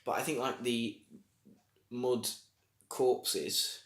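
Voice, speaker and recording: A man's voice: medium pitch, steady tone, extremely slow, a bit bright. A man co-hosting a casual review podcast, sarcastic, swearing and trailing off mid-thought. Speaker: podcast co-host. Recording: echoey room.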